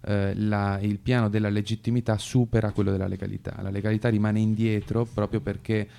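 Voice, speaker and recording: A man giving semi-improvised political commentary in a halting way, often searching for his words. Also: fairly low pitch, little pitch movement, vocal fry, dark tone. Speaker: radio commentator.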